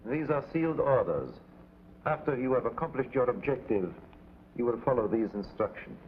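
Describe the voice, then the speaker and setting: An actor playing a solemn conspirator commander, formal and deliberate, in a 1950s TV drama. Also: male voice, mid-range voice, lively intonation, natural voice. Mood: solemn, commanding. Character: solemn male conspirator commander giving mission orders. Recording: tinny, very noisy 1950s TV soundtrack.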